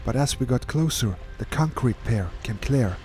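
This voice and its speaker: Calm man narrating a sailing voyage. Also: mid-range voice. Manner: calm, measured, slightly non-native phrasing. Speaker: solo sailor narrating his voyage. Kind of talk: scripted voice-over narration. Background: music.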